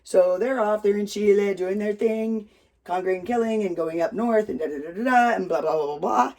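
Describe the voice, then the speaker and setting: An American storyteller telling a family tale with props in a loud, rambling, casual way, swearing freely. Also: medium pitch, moderate pace. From United States.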